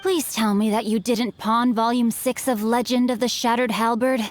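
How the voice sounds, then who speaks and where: A poised, gallant young swordsman, as a woman voices him, courteous yet sharp-tongued, in a light raised register. A faint hiss runs beneath. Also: on the high side, fairly even intonation, moderate pace, soft background music, natural voice. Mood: curious.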